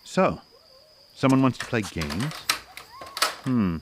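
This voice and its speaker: A man's voice: mid-range voice, sing-song, slow pace, noisy background. A man playing a plucky, wary young amateur sleuth with breezy old-time film talk in an audio drama. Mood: wary, muttering. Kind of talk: audio drama re-creation of 1930s mystery film.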